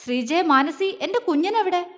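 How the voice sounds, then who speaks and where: Audio-story role: desperate, demanding young husband, played by a woman, high-pitched, in long reverb. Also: lively intonation, moderate pace, a bit bright. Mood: furious. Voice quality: trembling, roaring when angry. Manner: stammering, urgent, demanding. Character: distraught young husband, furious, searching for missing wife. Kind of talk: dramatic audio-story dialogue.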